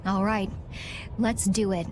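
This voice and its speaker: A woman's voice: mid-range voice, level tone, slow pace, close to an everyday voice. A woman playing a composed, bold young pilot in an anime game dub, with music behind. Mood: composed boldness.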